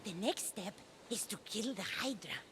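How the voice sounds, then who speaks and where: A woman's voice: medium pitch, expressive, normal speed, fairly bright tone, slight background noise, close to an everyday voice. A woman voicing a small, angry, bossy child goddess in a video game, feisty and imperious, with music behind her. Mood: commanding. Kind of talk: animated video game voice acting.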